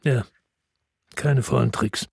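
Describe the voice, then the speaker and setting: A man's voice: mid-range voice, steady tone, slow pace, vocal fry, breathy edge, dry recording, clean studio sound, exaggerated voice. An actor playing a middle-aged, weary and bitter ex-policeman, narrating tersely in a radio drama. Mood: tense. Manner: terse, sombre, matter-of-fact. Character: weary, bitter male ex-policeman narrating his own crime story.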